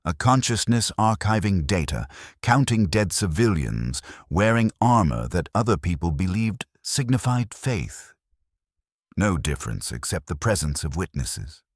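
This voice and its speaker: A man narrates a scripted first-person drama in a profoundly deep voice. His delivery is solemn and detached, in short clipped statements, yet the pitch moves around in a lively way. The sound is close and dry, free of room. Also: breathy edge, dry recording.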